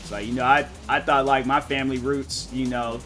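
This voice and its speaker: A man streaming a game and chatting casually, rambling and thinking out loud with lots of fillers. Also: medium pitch, little pitch movement, fairly quick, soft background music. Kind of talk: casual live-stream chat.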